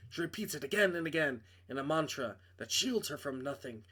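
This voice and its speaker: A man's voice: on the high side, lively intonation, brisk, a bit bright, husky. A man reading a visual novel aloud as narrator, in a dramatic scripted storytelling style.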